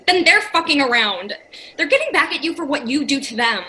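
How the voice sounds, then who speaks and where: Brash, foul-mouthed young female horror character, played by an actress, high and piercing, impulsive and snide. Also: sing-song, brisk, pushed and bright, a little hiss or hum, heavily acted. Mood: annoyed. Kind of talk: dramatic voice acting.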